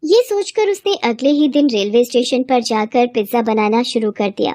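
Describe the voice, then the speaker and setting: A woman's voice: on the high side, darkish tone, dry recording, studio quality. A woman reading a scripted moral story for children as a storyteller.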